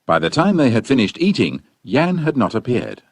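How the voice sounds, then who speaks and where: A man's voice: medium pitch, big pitch swings, moderate pace, husky, no room sound. A man reading scripted audiobook narration as a storyteller.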